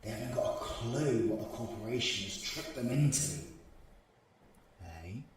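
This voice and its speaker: A British man playing a cocky, menacing supervillain in an audio roleplay, weary and flirtatiously taunting, often dropping into breathy murmurs. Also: mid-range voice, a little mellow. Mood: confused, irritated. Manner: slow, teasing, theatrical, with pet names. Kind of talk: audio roleplay acting, villain ASMR.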